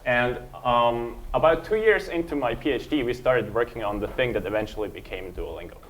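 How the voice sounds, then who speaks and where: A man, giving a relaxed conference talk full of ums and uhs. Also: mid-range voice, fairly quick, slight background noise, poor audio. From Switzerland. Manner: relaxed, self-deprecating, frequent um and uh. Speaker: panel speaker.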